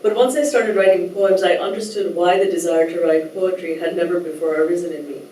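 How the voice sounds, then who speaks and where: A woman from Nepal giving a thoughtful, measured talk as a writer, at times reading a translated essay aloud, with soft hesitations. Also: lowish voice, fairly even intonation, a little hiss or hum. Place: lecture room. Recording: roomy echo, distant mic, faint ambience.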